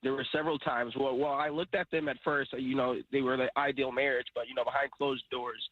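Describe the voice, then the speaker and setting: A man calling in to a radio show, explaining things in a measured way with many fillers. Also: medium pitch, flat delivery, over a noisy crowd. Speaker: caller. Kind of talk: phone-in call. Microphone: phone. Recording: tinny phone line.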